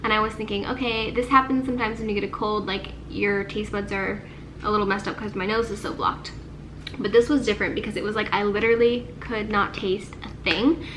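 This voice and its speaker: A woman's voice: medium pitch, steady tone, brisk, noisy background. Congested, nasal-sounding young woman vlogging. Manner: chatty, sprinkles speech with "like". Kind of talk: casual chat to camera.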